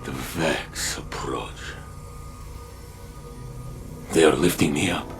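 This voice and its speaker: An actor playing a weary, passionate robot warrior in a video game, speaking in a halting, theatrical way. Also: male voice, extremely low pitch, fairly even intonation, creaky, music in the background, full character voice. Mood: anguished, tender. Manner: deliberate, halting, theatrical delivery. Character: weary, passionate robot warrior recalling his own death. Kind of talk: dramatic video game voice acting.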